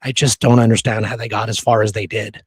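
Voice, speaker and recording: A man's voice: lowish voice, little pitch movement, fast talker, husky, dry recording. A man co-hosting a history podcast, telling old stories in a casual, colloquial way. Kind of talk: casual conversational history storytelling.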